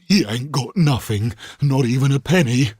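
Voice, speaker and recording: A man voicing a sneering, rat-like male street thug who talks in rough, leering tones. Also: medium pitch, animated, moderate pace, darkish tone, no echo, studio quality, voice pushed up.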